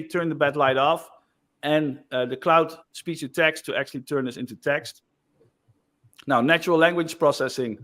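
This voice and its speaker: A man giving a casual screen-share demo of software, loose and chatty with frequent fillers. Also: a little high. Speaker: software developer presenting.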